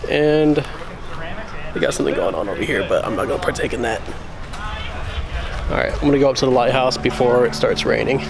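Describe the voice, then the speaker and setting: A young man vlogging a lighthouse visit in a relaxed, chatty way, filmed on a handheld camera outdoors with loud wind on the mic. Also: medium pitch, a little fast, vocal fry, pauses often, a crowd in the background. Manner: relaxed, laughs often, chatty asides. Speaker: travel vlogger. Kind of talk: casual vlog narration. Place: windy lighthouse gallery outdoors.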